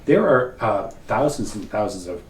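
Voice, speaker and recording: A man's voice: on the low side, expressive, moderate pace. A middle-aged man from northern Ontario whose first language is French, co-hosting a casual chat and explaining things thoughtfully. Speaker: co-host. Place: wood-panelled cabin room, seated at table. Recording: roomy, distant, faint hiss.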